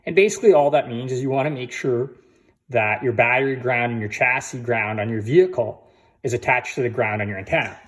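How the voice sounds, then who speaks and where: A man's voice: mid-range voice, brisk. A man giving a casual, hands-on how-to walkthrough as a vlogger, in an informal way with conversational asides.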